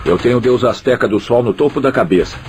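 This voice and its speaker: A man's voice: medium pitch, fast, darkish tone, soft background music, lightly acted. A man dubbing a proud adult former gang member, talking in casual street style with frequent tag questions. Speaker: dubbed interviewee. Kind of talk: documentary dub voice-over.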